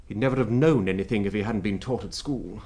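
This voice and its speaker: A man's voice: fairly low pitch, quick pace, darkish tone, lightly acted. A man acting in a radio drama with a British accent, playing a talkative, wryly amused royal secretary with an expansive, emphatic, rhetorical manner. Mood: wry, reflective.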